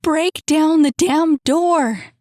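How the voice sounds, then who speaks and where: A woman voicing a desperate trapped young woman who urgently pleads and shouts through a door. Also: high-pitched, drawn-out words, dry recording, studio quality, voice pushed up. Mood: frantic.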